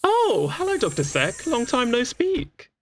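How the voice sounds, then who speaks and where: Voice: medium pitch, huge pitch swings, unhurried. Actor as a breezy space-clinic doctor, androgynous mid-range voice, playful, informal, audio drama, music underneath. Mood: cheerful. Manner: exuberant, informal, teasing. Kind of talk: audio drama acting, chatty and naturalistic.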